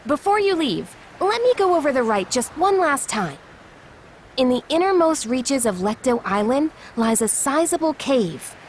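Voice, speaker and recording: Casting: breezy yet commanding seafarer woman, video game cutscenes, high and swooping delivery. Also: big pitch swings, moderate pace, slight background noise. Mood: brisk, encouraging.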